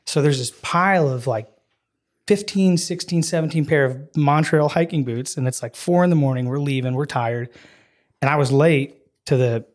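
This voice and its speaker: A man in his forties from Wisconsin, a guest telling stories in a relaxed podcast chat, animated and laughing easily, with many filler phrases. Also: a little high, moderate pace. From Wisconsin, US Midwest. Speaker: guest, ministry program leader. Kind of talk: casual storytelling in a podcast chat.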